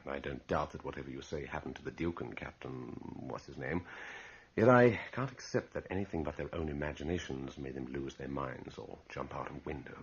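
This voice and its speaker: An actor in an old-time radio drama playing a confident, skeptical gentleman with a wry, breezy manner. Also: very deep voice, a little fast, gravelly, husky, heavily acted. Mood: breezy, assured. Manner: wry, self-assured, breezy. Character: confident skeptical gentleman wagering on a night in a haunted room. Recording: muffled lo-fi old radio broadcast.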